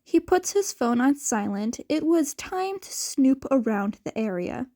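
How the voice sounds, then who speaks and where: A woman's voice: high-pitched, very animated, unhurried, warm tone. A woman reading a story aloud as an amateur fan fiction narrator.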